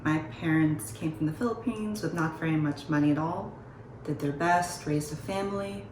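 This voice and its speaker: A woman from Alaska, an actor introducing herself in a measured, earnest way. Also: low voice, a little mellow, distant mic, slight background noise. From Juneau, Alaska, United States. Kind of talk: casual self-introduction. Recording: roomy, from off-mic.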